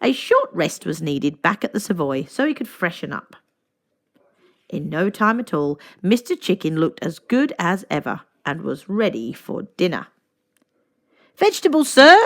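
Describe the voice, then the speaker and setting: A woman reads a children's story aloud as narrator. Her intonation is very lively, and she phrases deliberately and expressively. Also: low voice, gravelly.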